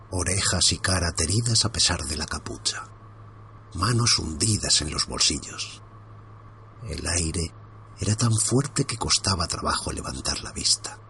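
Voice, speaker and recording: Deep-voiced man from reading a horror story. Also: a bit bright, slight background noise. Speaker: narrator.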